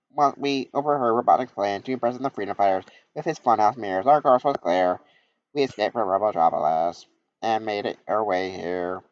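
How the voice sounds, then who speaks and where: A man's voice: on the low side, close to an everyday voice. A man voicing a polite, formal male repair robot, in a dull lo-fi recording.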